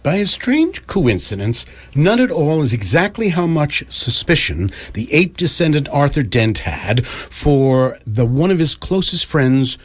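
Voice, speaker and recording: A man narrating a comic radio drama from a script in a measured, wry storytelling manner. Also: on the high side.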